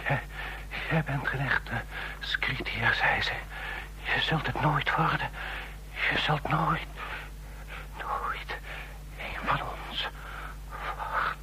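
An actor playing a dying, bitter heart patient in a radio drama, whispering in halting, broken phrases as he gasps for breath. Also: mid-range voice, sharp tone, poor audio. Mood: fading, wistful. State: gasping, near death.

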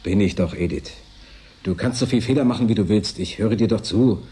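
A lonely, secretive worker in a German radio drama. His voice is low, mellow and heavily husky. He confides intensely, insisting on his own sanity. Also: a little fast.

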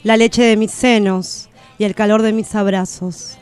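A woman's voice: medium pitch, fairly even intonation, measured pace, darkish tone, smooth, faint music behind, close to an everyday voice. An actress playing an aching yet unbroken, defiant everywoman, reciting in solemn and emphatic poetic radio theatre. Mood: unbroken, proud.

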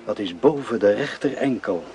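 A man's voice: fairly low pitch, lively intonation, moderate pace, over music. A man playing a brusque, overworked army surgeon in a radio play, curt and barking orders. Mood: brisk, commanding. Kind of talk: radio drama acting.

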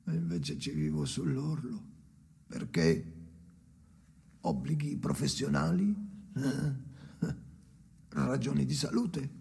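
Brooding, gravelly man, wildly swinging voice. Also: mid-range voice, big pitch swings, slow pace, long pauses, warm tone, exaggerated voice. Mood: bitter irony. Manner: erratic, broken, repetitive delivery with sudden outbursts. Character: Henry, brooding tormented man alone by the sea. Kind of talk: theatrical radio drama monologue and dialogue.

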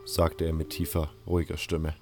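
Creaky-voiced man narrating fantasy. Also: very deep voice, monotone, moderate pace, over music. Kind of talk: scripted first-person narration of a fantasy audio drama.

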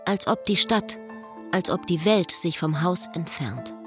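Wistful woman in love, slightly low voice. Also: animated, a little slow, fairly bright tone, soft background music. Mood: reflective. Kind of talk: radio play acting and inner monologue.

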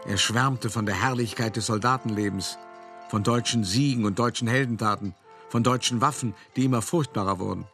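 Wry, self-important older art dealer, lightish voice. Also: male voice, on the low side, fairly quick, fairly bright tone, faint music behind. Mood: wry narration. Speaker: narrator of a radio play. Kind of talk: theatrical first-person monologue, storytelling.

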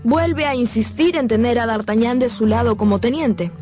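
Higher-pitched woman narrating over music. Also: normal speed, dark tone. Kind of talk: scripted radio drama narration. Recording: music behind the voice.

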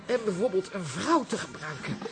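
An actress in a radio comedy playing a chatty, self-important former stage actress with a theatrical, gushing manner. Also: female voice, mid-range voice, big pitch swings, brisk, loud music behind the voice. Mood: eager, angling. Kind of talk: radio comedy drama acting.

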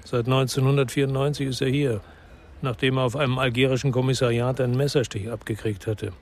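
An actor playing a world-weary, brooding ex-policeman, narrating and speaking in a literary crime drama in a measured, restrained way. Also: medium pitch, steady tone, darkish tone, slight background noise, natural voice. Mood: matter-of-fact, wary.

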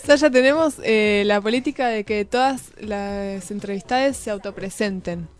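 A woman's voice: mid-range voice, normal speed, clean tone, soft background music. A woman with an Argentine accent hosting a radio show, interviewing in a casual, chatty style.

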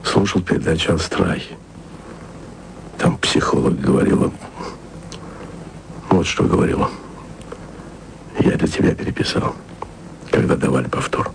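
An actor playing a lovesick, weary, jealous radio worker in an intimate, murmured radio play monologue. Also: male voice, a little slow, pauses often, a little hiss or hum, full character voice, low-quality recording. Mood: brooding, jealous. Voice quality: deep gravelly voice.

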